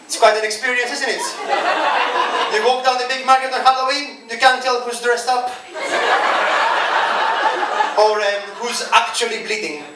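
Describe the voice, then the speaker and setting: Young man, strong Greek accent, stand-up comic, self-mocking, highish voice, roomy echo. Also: fairly even intonation, normal speed, pauses often, heavy background noise. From Greece. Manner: conversational, self-mocking, chatty asides. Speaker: stand-up comedian. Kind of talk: stand-up comedy set. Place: comedy club stage. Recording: echoey club from far off, loud ambience. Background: audience laughter.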